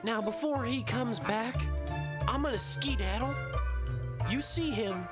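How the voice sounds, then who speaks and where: Woman acting a folksy, high-voiced hostess. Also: measured pace, lightly acted. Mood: nervous, folksy. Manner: folksy, exclamatory. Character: folksy, chatty, jittery female show hostess. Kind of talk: audio drama host segment. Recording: loud music under the voice.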